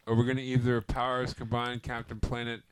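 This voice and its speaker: A man's voice: mid-range voice, completely flat delivery, brisk. A man chatting casually in a blunt, sweary way, with faint noise behind him. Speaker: singer in a band. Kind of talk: casual chat.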